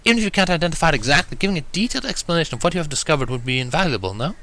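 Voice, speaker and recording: A man's voice: fairly high pitch, expressive, racing, sharp tone, pitched above the performer's own voice. A man voicing a refined, dignified female cartoon pony with a proper, polite manner in a fan fiction reading. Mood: reassuring.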